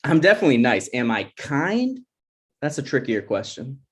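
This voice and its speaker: A man's voice: mid-range voice, sing-song, normal speed, natural voice. A touchy, joking boyfriend of twenty-six, played by a man at a table read. He has a mid-range voice and a casual, self-deprecating way of talking. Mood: playful, touchy. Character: Ryan, sensitive, jokey twenty-six-year-old boyfriend.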